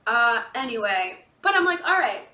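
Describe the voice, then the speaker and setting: A woman's voice: on the high side, big pitch swings, normal speed, sharp tone, a little hiss or hum. A woman in her forties talking casually and candidly to her viewers, emphatic, acting out her own inner dialogue in a confessional monologue. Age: 40s. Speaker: podcast and video host. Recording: echoey room, distant microphone.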